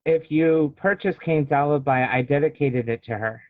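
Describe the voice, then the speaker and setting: A man's voice: on the high side, normal speed. American man on a thin, phone-like line. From Illinois, United States. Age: early 40s. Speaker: author being interviewed. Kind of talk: casual conversational interview. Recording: thin, phone-like video call sound.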